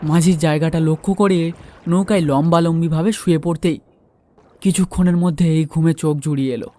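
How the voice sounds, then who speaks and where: Higher-pitched man narrating a horror story. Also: steady tone, a little fast, mellow. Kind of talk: dramatic first-person horror story narration.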